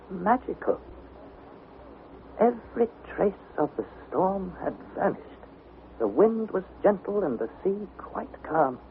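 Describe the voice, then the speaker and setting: An anxious young explorer in an old radio play, with a high voice that swings dramatically. The recording is thin and narrow like a telephone, with clear noise. He delivers every phrase with theatrical intensity. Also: heavily acted. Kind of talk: vintage radio drama narration and acting. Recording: noisy, phone-thin vintage radio recording.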